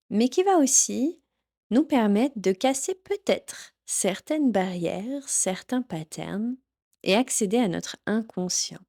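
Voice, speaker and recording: A woman teaching yoga nidra, leading a guided relaxation in a gentle, soothing, instructional manner. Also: on the low side. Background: background music.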